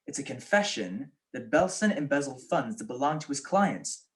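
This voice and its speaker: A woman's voice: a bass voice, very animated, moderate pace. A young woman acting a suave, flippant male detective husband in a 1940s-style radio drama, with theatrical delivery. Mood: brisk, shrewd. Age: young adult.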